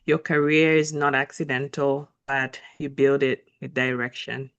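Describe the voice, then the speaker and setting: A woman hosting an interview, reading a measured opening and then posing long, thoughtful questions. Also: a bass voice, flat delivery, smooth, dry recording, muffled recording. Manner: measured, declarative, unhurried. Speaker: host. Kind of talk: interview questions, partly scripted intro.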